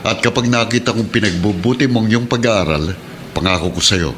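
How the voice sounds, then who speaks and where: An actor playing a kindly, encouraging uncle in a Filipino radio drama, gentle and reassuring. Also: male voice, on the low side, brisk, slight background noise, natural voice. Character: kindly, encouraging uncle, benefactor of a poor boy.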